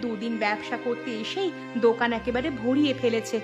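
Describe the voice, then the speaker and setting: A woman's voice: fairly high pitch, moderate pace, lo-fi sound, over music, close to an everyday voice. A woman voicing an outspoken shopkeeper's wife in a village cartoon, pointed and dramatic. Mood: worried, reproachful. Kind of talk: cartoon voice acting.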